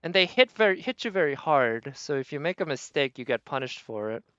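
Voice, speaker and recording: A man's voice: fairly high pitch, lively intonation, brisk, bright, forceful tone, no echo, highs cut off. A man doing casual live game commentary, thinking aloud and trailing off as he goes. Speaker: game streamer.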